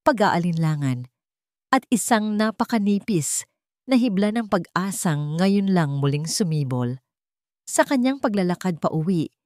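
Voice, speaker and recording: A woman narrates a scripted, dramatic story. Her voice sits low for a woman, and the sound is dry and close, with no room around it. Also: darkish tone, no room sound.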